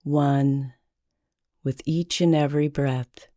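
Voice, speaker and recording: A woman leading a guided meditation in a calming, deliberate manner with long pauses between phrases. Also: deep voice, no room sound, clean studio sound.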